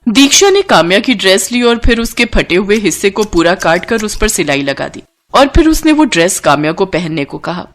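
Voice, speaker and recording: A woman narrates a scripted story in a mid-range voice. She is the storyteller, reading out the tale. Soft music plays behind her narration. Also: mid-range voice, big pitch swings, moderate pace, hardly any pauses.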